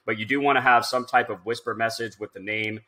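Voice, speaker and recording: A man in his thirties presenting a casual screen-share software tutorial, informal and instructional, thinking aloud as he goes. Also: mid-range voice, fairly even intonation, a little fast.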